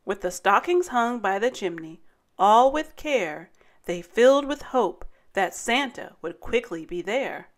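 A woman hosting story time, reading a rhyming children's picture book aloud in a dramatic, deliberate way. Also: mid-range voice, animated. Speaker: story time host and narrator.

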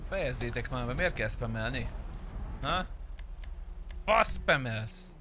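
Male game streamer, mid-pitched, blunt and sweary, improvising roleplay and chat over clear car noise. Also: expressive, sharp tone. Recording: clear background noise, vehicle sounds.

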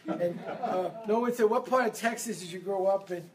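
A man from Brooklyn, giving a lively motivational seminar talk and getting the audience to answer back. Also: high-pitched, moderate pace, crowd noise. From Brooklyn, New York. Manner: energetic, leads audience call-and-response. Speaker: seminar speaker. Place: event room with a live audience.

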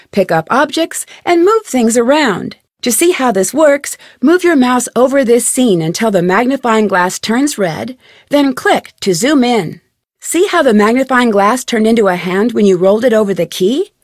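A woman playing a chipper, curious young detective, giving friendly, clearly articulated tutorial narration for a game. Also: fairly high pitch, no room sound, studio quality.

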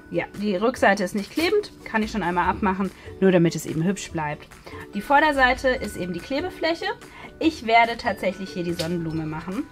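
A woman chatting casually as she hosts a craft demonstration, thinking aloud while she works. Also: fairly high pitch. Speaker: host of a craft haul video. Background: music.

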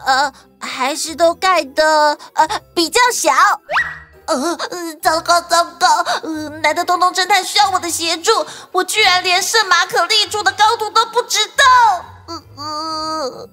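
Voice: extremely high pitch, measured pace, sharp tone, exaggerated voice. A performer voicing an eager, anxious little flying lion helper in a children's audio story, earnest and easily flustered. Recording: music bed behind voice.

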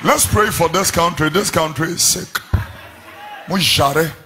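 A middle-aged man with a Ghanaian accent, a pastor preaching a fiery sermon into a handheld mic in a large church hall, shouting in sing-song refrains. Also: fairly high pitch, a little slow, crowd noise, background noise.

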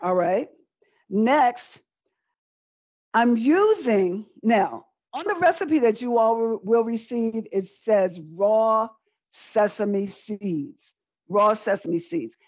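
A woman's voice: on the high side, lively intonation, takes it slowly, darkish tone, dry recording. A middle-aged woman giving a live online cooking demonstration, chatty and conversational, repeating phrases for emphasis as she teaches. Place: indoor room with folding screen, demo table. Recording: dull, lo-fi video-call audio.

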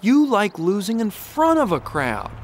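A man playing a cocky but good-hearted young race car in an animated game, with an American accent. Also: sits high, measured pace, slight background noise. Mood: teasing. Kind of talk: animated game cutscene acting.

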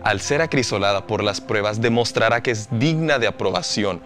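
A man's voice: fairly low pitch, normal speed, a bit bright. A man giving a solemn scripted reading of scripture as a voice-over. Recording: voice-over with music behind it.

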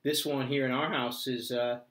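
A man's voice: medium pitch, level tone, moderate pace, fairly bright tone. A middle-aged man chatting casually as a co-host. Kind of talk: casual chat. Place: small indoor office, seated at a desk. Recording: echoey small room.

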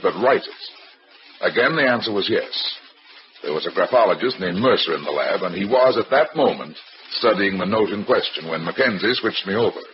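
An actor playing a hard-boiled private detective in a 1940s radio drama, dry, slangy and wisecracking. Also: male voice, low-pitched. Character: wry, hard-boiled male private detective narrating his case. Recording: muffled, noisy vintage radio recording.

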